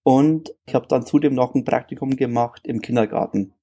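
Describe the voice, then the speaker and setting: A Bavarian-accented man in his early thirties talks as an interview guest, easygoing and chatty. His voice sits at an ordinary middle pitch, and he fills his pauses with little hesitation sounds as he thinks aloud. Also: muffled recording. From Bavaria, Germany. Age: 30s. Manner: relaxed and chatty, with frequent filler sounds. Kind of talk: casual conversational interview.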